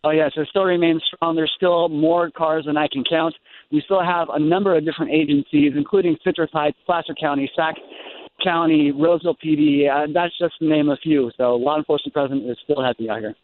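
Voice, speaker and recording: An American man reporting breaking news live from the scene for radio, speaking in a halting, ad-libbed way and correcting himself as he goes. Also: on the high side, fairly even intonation, no room sound. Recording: thin phone-like live radio line.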